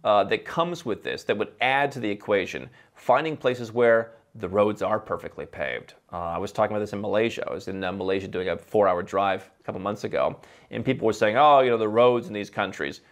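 A man in his thirties talks straight to the camera in a dim, book-lined studio set. He is animated and opinionated, and he uses his hands and fires off rhetorical questions. The voice is close and clean on a studio mic. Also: lowish voice, brisk. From Ohio, USA. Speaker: host. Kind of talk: opinionated talking-head commentary. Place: dim indoor library set.

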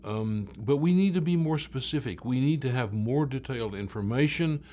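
An older man, around his late sixties, speaking as a professor explaining research in a measured way, stressing key words. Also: medium pitch, animated, dark tone. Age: older, about late 60s. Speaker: medical researcher, professor of cancer biology. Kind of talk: explanatory talk to camera about research.

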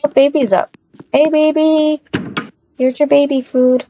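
A gentle young mom played by a woman in a casual family game roleplay. Her voice is fairly high and soft-edged, with doting, cooing talk at a slow pace. The microphone sounds slightly muffled. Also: steady tone. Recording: muffled, noisy microphone with clicks.

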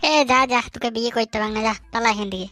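A man playing a bossy, teasing young friend in a comic cartoon, using colloquial rural western West Bengal dialect and a pitched-up voice. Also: fast, music in the background. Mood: annoyed. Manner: colloquial, mocking, scolding.

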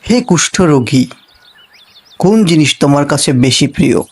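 A man's voice: fairly high pitch, moderate pace, breathy edge. A man playing a solemn, formal royal guard in disguise in a cartoon fable. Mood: solemn questioning.

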